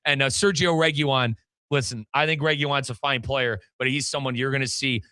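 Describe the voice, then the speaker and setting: A man's voice: medium pitch, fairly even intonation, a little fast, bright, forceful tone, smooth, no room sound. A man hosting sports radio, talking soccer in an emphatic, opinionated way. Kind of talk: animated soccer radio talk.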